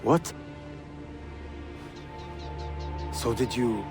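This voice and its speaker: A man's voice: mid-range voice, expressive, a little slow, loud music behind the voice, natural voice. Polished young Ottoman prince played by a man. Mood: regretful. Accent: Turkish. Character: young male Ottoman prince, refined and earnest. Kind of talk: video game cutscene acting.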